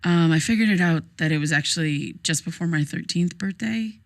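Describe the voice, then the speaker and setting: An actress playing a troubled, grieving young woman in a naturalistic audio drama, speaking in a hesitant, halting, casual way. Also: on the low side, close to an everyday voice. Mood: searching, uneasy. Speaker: therapy client in audio drama.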